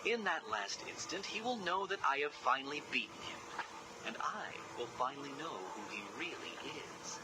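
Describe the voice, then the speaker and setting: A man's voice: a little high, very animated, moderate pace, noisy background. A man voicing an arrogant, narcissistic genius villain in a video game, with a smug, theatrical, mocking delivery. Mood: contemptuous, vengeful.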